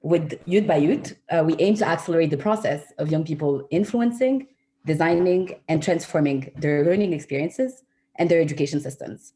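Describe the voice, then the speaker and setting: Low-voiced woman giving an earnest speech. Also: animated, moderate pace, warm tone. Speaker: youth education movement co-founder, speaker. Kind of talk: prepared advocacy speech.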